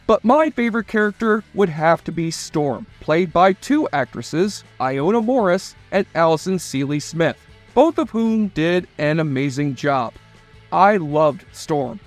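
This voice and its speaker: A man's voice: sits high, no echo. A man reading scripted narration for a retrospective video documentary. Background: faint music.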